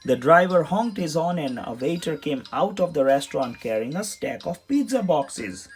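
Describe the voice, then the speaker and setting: A man's voice: a little high, big pitch swings, moderate pace, slightly rough. A man narrating a children's storybook as a read-aloud. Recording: music behind the voice.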